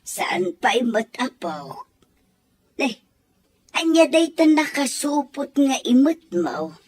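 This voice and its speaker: A woman playing a gentle, concerned elderly mother and grandmother in an audio story drama, with a husky, breathy voice. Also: high voice, sing-song, takes it slowly, full character voice. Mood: fond, amused.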